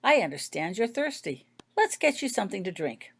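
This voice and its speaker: Male wizard healer for a storybook, read by a woman: kindly, unhurried manner, gentle, curious, casual. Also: mid-range voice, very animated, breathy edge. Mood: cheerful.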